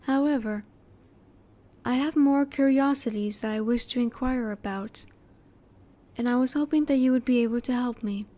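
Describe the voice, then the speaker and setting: A curious female alien, played by a woman in an audio roleplay. Her voice sits fairly high and has a mellow, soft tone. She asks things politely in formal, precise wording, keeping her emotions reserved. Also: moderate pace, natural voice. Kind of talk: roleplay audio drama, one-sided dialogue to the listener.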